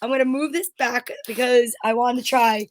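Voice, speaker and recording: A woman with a high voice co-hosts a casual live show. She sounds upbeat and enthusiastic, chatting freely. The sound is dry, with very little room in it. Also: fairly even intonation, moderate pace, no room sound. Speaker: co-host of an online comedy show.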